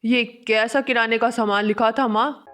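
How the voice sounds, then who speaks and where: A woman's voice: on the high side, steady tone, moderate pace, fairly bright tone, faint music behind, lightly acted. A woman voicing a short-tempered young married man in a Hindi cartoon story, curt and irritable. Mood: angry, exasperated.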